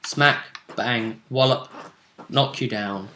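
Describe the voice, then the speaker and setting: A man with a medium-pitched voice hosts a tabletop game show. He recaps fights casually, yet tells them like a dramatic story, leaning on pauses for emphasis. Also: pushed and bright, slight background noise. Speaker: host and commentator on a tabletop roleplay vidcast.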